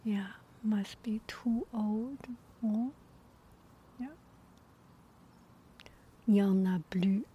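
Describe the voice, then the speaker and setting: A woman softly whispering a personal story, halting often with pauses and fillers. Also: mid-range voice, lo-fi sound, slight background noise.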